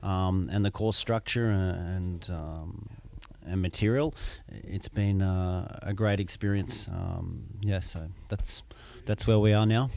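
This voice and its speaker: Australian man in his thirties, gravelly low voice, measured and hesitant, interview guest. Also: steady tone, a little mellow. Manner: measured, many ums and restarts. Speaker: MBA student guest. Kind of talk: casual interview answers. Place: business school campus during a conference.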